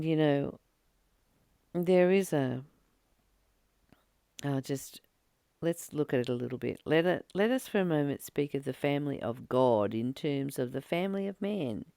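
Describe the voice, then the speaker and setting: A woman reading a spiritual study text aloud and explaining it informally, in a halting, reflective way with pauses between short phrases. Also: sits low, creaky, dark tone, no room sound, studio quality. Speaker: spiritual study reader and commentator. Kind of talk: slow read-aloud study with informal commentary.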